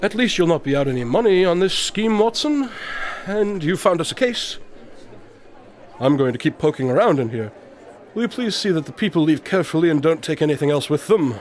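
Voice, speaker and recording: An actor playing an eccentric, inquisitive detective in a radio drama, articulate and dryly witty, with formal phrasing. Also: male voice, on the high side, lively intonation, fairly quick, close to an everyday voice. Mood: brisk, pleased.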